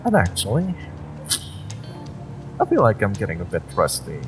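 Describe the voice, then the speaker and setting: A man's voice: huge pitch swings, moderate pace. A man playing a jaded, evasive supernatural driver in an intimate audio roleplay, talking in an offhand, hesitant, wry way. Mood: wry teasing. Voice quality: low, gravelly. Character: jaded, evasive male Omega driver, secretly a former judge. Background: music and background ambience.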